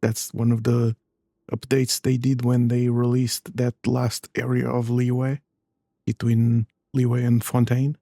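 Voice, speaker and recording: Casual male game streamer, dark and gravelly tone, dry close sound. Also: mid-range voice, completely flat delivery, slow pace, dry recording. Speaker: gaming streamer. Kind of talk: casual playthrough commentary.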